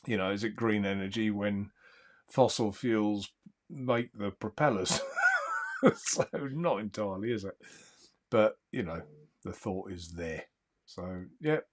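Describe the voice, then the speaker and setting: Calm low-voiced man. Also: slow, long pauses. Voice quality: calm, soothing voice. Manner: rambling, easygoing, chuckles often. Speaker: livestream host. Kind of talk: casual chat reading live comments.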